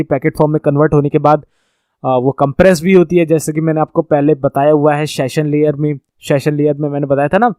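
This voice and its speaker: A man's voice: a little high, a little mellow, clean tone, no room sound. A man with an Indian accent teaching in an explanatory lecture style, going step by step with repetition and checks that the listener follows. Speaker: computer networking teacher. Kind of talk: explanatory lecture over slides.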